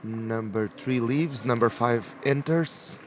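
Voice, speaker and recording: A man's voice: medium pitch, slow pace. A man whose first language is not English, chatting as co-commentator at a match, casual and self-deprecating with frequent restarts. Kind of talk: casual sports commentary chat.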